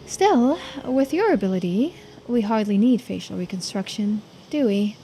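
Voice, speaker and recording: Woman voicing a dryly commanding senior investigator, slightly raised pitch. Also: animated, normal speed, dark tone, soft background music, natural voice. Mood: dry, composed. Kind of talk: voiced visual-novel dialogue.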